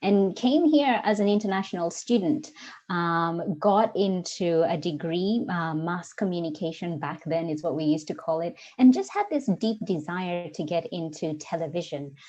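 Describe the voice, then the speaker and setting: A woman's voice: fairly low pitch, normal speed, warm tone. A woman in her forties from Kenya, with a Kenyan accent, talking as a guest in a casual interview. She speaks reflectively and searches for her words, with frequent ums. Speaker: guest, diversity coach. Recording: dull lo-fi audio.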